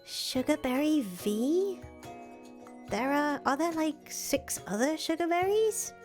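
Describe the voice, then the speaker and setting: A woman's voice: high-pitched, expressive, slow pace, darkish tone. A woman voicing a patient, loyal young woman in a visual novel, reassuring and casually sweary. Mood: surprised, dismayed. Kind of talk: voiced reading of visual novel dialogue. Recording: music playing under the voice.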